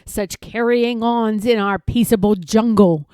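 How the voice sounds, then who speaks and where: A woman's voice: fairly high pitch, unhurried, dark tone, clean tone, dry recording, studio quality, lightly acted. A woman voicing a big, bossy female kangaroo, snappish and scornful, in a children's storybook read-aloud. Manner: snappish, haughty.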